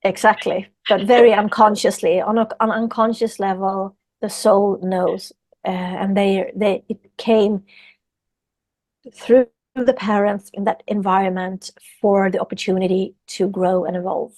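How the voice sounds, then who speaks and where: A woman's voice: mid-range voice, little pitch movement, unhurried, a little mellow, no echo. A woman from Sweden with a Swedish accent, a yoga teacher talking earnestly as a podcast guest and repeating phrases for emphasis. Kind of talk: podcast interview.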